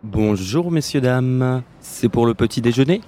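A man's voice: medium pitch, lively intonation, measured pace, mellow. A man playing a polite waiter in a scripted French dialogue. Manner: polite, formal service speech. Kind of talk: scripted role-play dialogue.

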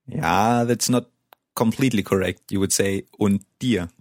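A man's voice: fairly low pitch, unhurried, darkish tone, dry recording, clean studio sound. A man co-hosting a language lesson, giving brief corrections and encouragement. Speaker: German language co-host.

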